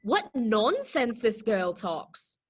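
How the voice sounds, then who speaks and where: A woman's voice: a little high, big pitch swings, slow, husky, full character voice. A woman voicing a snooty, dismissive talking rose in a children's readers theater play. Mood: disdainful. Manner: snooty, exaggerated disdain.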